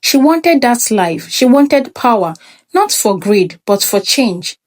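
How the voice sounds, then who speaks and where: A woman reading a scripted folktale as a storyteller, measured and expressive. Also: a little high.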